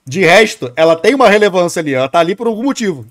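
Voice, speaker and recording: Casting: Brazilian male streamer, very quick speech, slightly high voice, informal and rambling reaction chat. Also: big pitch swings. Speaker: live streamer reacting to a video. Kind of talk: casual livestream chat and commentary.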